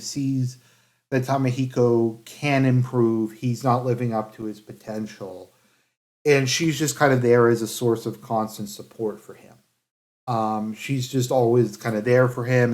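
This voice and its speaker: A man giving casual review commentary in a halting, hedging way, with phrases that trail off. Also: mid-range voice, darkish tone. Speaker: anime reviewer.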